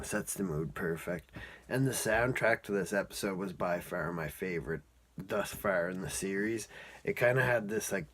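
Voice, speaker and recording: A man's voice: deep voice, fairly bright tone, slightly rough. A man casually reviewing and recapping a cartoon episode in a rambling, informal, amused way.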